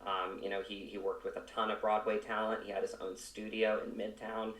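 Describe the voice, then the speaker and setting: Echoey, low-voiced man in casual interview. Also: level tone, a bit bright, far from the mic. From Virginia, United States. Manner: halting, peppered with you know. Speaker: actor, podcast guest. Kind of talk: casual conversational interview answers. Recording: roomy echo, away from mic.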